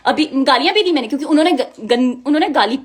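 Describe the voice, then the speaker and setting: Young woman. High pitch, rapid delivery, indignant and emphatic first-person account. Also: expressive. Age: young adult. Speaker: music video model. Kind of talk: personal account told to viewers.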